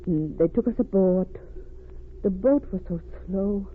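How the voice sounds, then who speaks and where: An actress playing a distraught refugee woman, speaking haltingly with foreign word order in an old radio drama. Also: medium pitch, lightly acted. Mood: troubled. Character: distraught refugee wife haunted by a smuggler's crime. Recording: tinny, noisy vintage radio recording.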